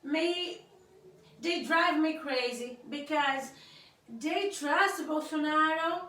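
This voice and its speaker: Slow, high-voiced woman in an echoey room. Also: sharp tone. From Africa. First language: Creole. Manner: hesitant, searching for words, many fillers and restarts. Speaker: vlogger on her husband's channel. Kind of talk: casual spoken opinion to camera. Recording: very echoey room, voice far from the mic.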